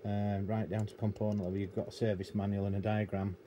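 British man, middle-aged, mellow dark tone, casual technical walkthrough, matter-of-fact, noticeable room noise. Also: fairly low pitch, flat delivery, fast. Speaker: radio technician and hobbyist presenter. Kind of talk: unscripted hands-on demonstration, casual explanation. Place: indoor cluttered electronics workbench.

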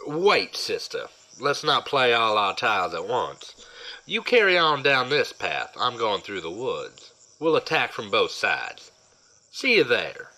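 A man's voice: mid-range voice, sing-song, a little slow, pushed and bright, heavily acted, low-quality recording. A man in an audio drama playing a shrewd, watchful fighter, cautious and scheming.

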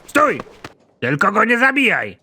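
A man playing a bossy, excitable, foul-mouthed army general in an animated comedy, with a commanding, exasperated delivery. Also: high voice, a little slow, heavy background noise, exaggerated voice. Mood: alarmed.

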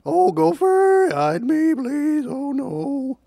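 A man's voice: extremely high pitch, huge pitch swings, slow pace, creaky, mellow, no echo, studio quality, pitched above the performer's own voice, heavily acted. A man voicing a panicky turkey in a storybook, wailing and pleading frantically. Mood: frantic, pleading. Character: panicky male turkey fleeing from being cooked. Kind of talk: storybook character voice.

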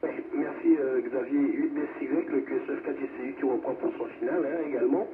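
A man's voice: mid-range voice, fairly quick. A man chatting informally as an amateur radio operator, heard over the air. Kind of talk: casual radio chat. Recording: noisy narrow-band radio reception.

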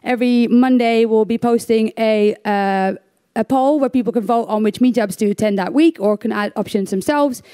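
A woman's voice: fairly high pitch, normal speed, mellow. A young woman from the Netherlands giving a conference talk on stage, chatty and self-deprecating with lots of fillers and restarts. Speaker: conference speaker.

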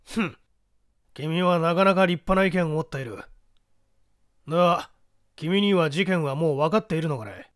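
A man's voice: fairly high pitch, moderate pace, pauses often, fairly bright tone, dry recording, studio quality, close to an everyday voice. A man playing a condescending, self-assured police detective with a pompous manner in an audio mystery story. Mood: grudging, doubtful.

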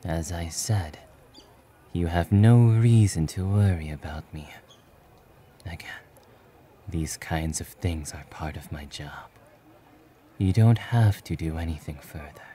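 A man voicing a stoic, quietly kind young demon-slaying hero in a soft, breathy romantic ASMR roleplay. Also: deep voice, slow, long pauses, slight background noise. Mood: reluctant, calm. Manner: aloof, terse, gently reassuring.

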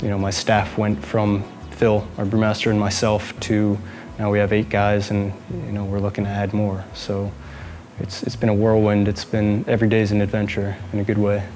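A man in his thirties at a brewery, answering interview questions earnestly and haltingly, often repeating words. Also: fairly low pitch, monotone, a little mellow, soft background music. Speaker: brewery manager. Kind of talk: interview and plant tour.

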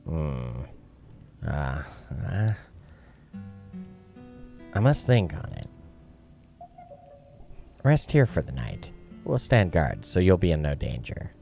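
A man voicing a courteous, solemn ghostly captain from a video game, formal and measured. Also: a bass voice, sing-song, vocal fry, dark tone, heavily acted. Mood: pensive. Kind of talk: voiced game dialogue. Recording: music behind.

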